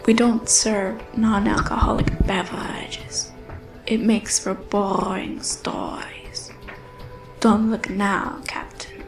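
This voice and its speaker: A woman playing a knowing, mysterious alien bar server in an audio drama, breathy and unhurried. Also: mid-range voice. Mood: sly. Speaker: voice actor. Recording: roomy echo, faint music.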